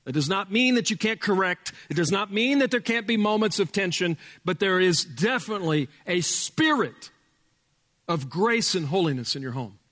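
A male pastor with a loud voice preaching a sermon in a church, emphatic, dropping from booming to hushed. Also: expressive, moderate pace, long reverb tail. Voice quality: loud speaking voice. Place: church sanctuary with sound board.

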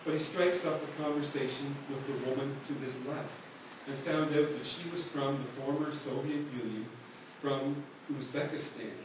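A man's voice: medium pitch, fairly even intonation, normal speed. A man preaching a sermon, telling a story in an earnest, conversational way to his congregation. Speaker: pastor. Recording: echoey hall, voice from far off, noisy.

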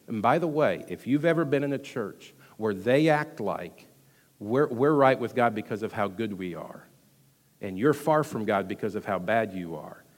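Animated Texan pastor preaching in a hall. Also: male voice, medium pitch. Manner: animated preaching, swings from loud emphasis to hushed asides, quick delivery. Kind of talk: sermon. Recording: long hall reverb.

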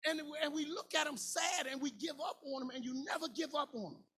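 Man, 40s, preacher, very high pitch, impassioned shouting, sing-song cadence, casual grammar. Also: a little fast. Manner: colloquial, informal grammar. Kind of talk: sermon.

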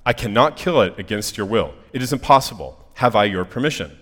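A man voicing a stern burning angel, speaking in terse, measured, absolute statements. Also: lowish voice, expressive, fairly bright tone, in a large hall. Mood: solemn, firm. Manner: terse, solemn, measured, insistent.

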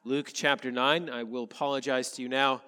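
A male pastor, a little nasal from a head cold, reading scripture and preaching in an earnest, measured way. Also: medium pitch, flat delivery, sharp tone, smooth, long reverb tail. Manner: earnest, measured preaching with slow emphatic phrases. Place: church sanctuary.

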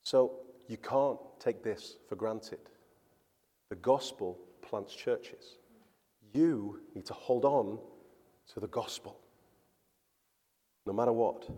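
Pastor preaching in an echoing hall. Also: male voice, fairly low pitch, slow pace, long pauses, dark tone. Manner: earnest, emphatic, repeats words when stressing points. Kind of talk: sermon. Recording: church hall with long reverb.

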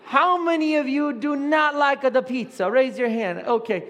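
A man puts on a frantic, pushy pizzeria boss from the Bronx with a thick put-on New York accent, pitched high and working the crowd. The voice rings out in a big church hall with a long echo. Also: moderate pace, a bit bright. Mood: boastful. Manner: harried, rambling, pushy with the crowd. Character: frantic male Italian-born Bronx pizzeria owner. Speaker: skit performer. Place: church sanctuary.

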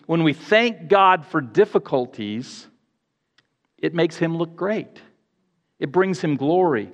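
A man preaching a sermon to a congregation in an earnest, measured way, pausing and correcting himself as he goes. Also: on the high side, in a large hall, highs cut off. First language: English. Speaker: pastor.

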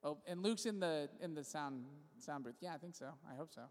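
A man's voice: on the high side, lively intonation, brisk. Young man speaking in a reverberant church. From California. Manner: casual, friendly, loose with restarts. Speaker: worship leader. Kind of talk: church service intro and spoken prayer. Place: church sanctuary. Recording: long reverb of a large church hall.

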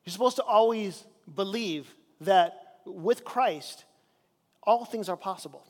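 High-voiced man preaching from Chile. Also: slow, big-room sound. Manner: conversational preaching with stretched key words. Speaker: pastor. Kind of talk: sermon. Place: church sanctuary.

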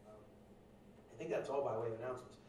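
A male pastor giving casual church announcements and introducing a speaker, joking and filling pauses with "uh". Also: medium pitch, fairly even intonation, a little mellow. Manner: casual, joking, loose with fillers. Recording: echoey room, distant mic, audible noise.

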